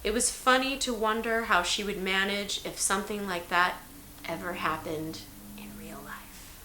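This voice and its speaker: Female story narrator, dramatic delivery, bright tone, echoey hissy room. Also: medium pitch, animated, moderate pace. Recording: roomy echo, hiss in background.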